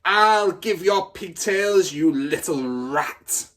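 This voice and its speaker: A man voicing a huge, bullying headmistress in a storybook, barking and shouting with menace. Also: high-pitched, lively intonation, takes it slowly, voice pushed up, exaggerated voice. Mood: furious bellowing.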